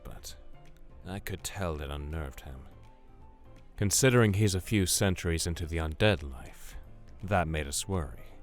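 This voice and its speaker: A man playing a wry, century-old male vampire who talks conversationally in an audio drama. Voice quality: low-pitched.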